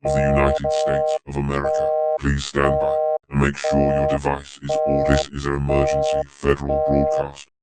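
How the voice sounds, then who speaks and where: A synthetic male text-to-speech voice reading a formal, flat, scripted emergency alert announcement. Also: rumbling low, moderate pace, gravelly, few pauses, loud music behind the voice.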